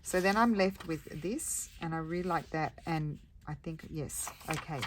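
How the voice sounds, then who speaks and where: A woman with a croaky voice, chatting casually and thinking aloud as she makes paper crafts at her craft table. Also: sits low, unhurried, a little hiss or hum. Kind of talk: casual crafting chat while working.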